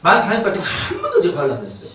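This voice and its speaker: A man with a Gyeongsang accent preaching a lively, joking sermon as the pastor. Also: high-pitched, racing, a little hiss or hum. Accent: Gyeongsang Korean. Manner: animated and joking, acts out quotes in dialect. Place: church sanctuary. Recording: roomy church hall from a distance.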